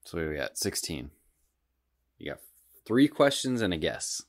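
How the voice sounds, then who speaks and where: Man, very low voice, slow pace, hesitant, casual podcast chat. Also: big pitch swings, dry recording, clean studio sound. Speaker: podcast co-host.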